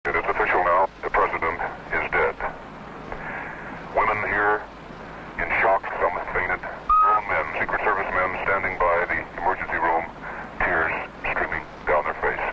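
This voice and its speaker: A man playing a grave radio reporter, halting and solemn, delivering breaking news on a dull, noisy old broadcast. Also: low voice, steady tone, close to an everyday voice. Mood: somber, shaken. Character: grave male radio news reporter, shaken but composed.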